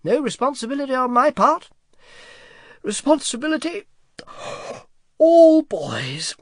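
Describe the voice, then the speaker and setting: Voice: high-pitched, very animated, unhurried, no room sound, pitched above the performer's own voice, exaggerated voice. A reader voicing a big, good-natured male dragon who murmurs sleepily in a half-whisper. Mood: drowsy.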